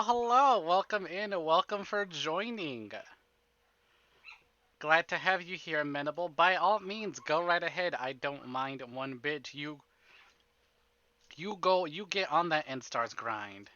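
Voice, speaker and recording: A man's voice: a little high, slow, long pauses, sharp tone, lo-fi sound. A man hosting a casual livestream, chatting to viewers in a playful, rambling, exclamatory way and often repeating himself. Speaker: livestream host, gamer.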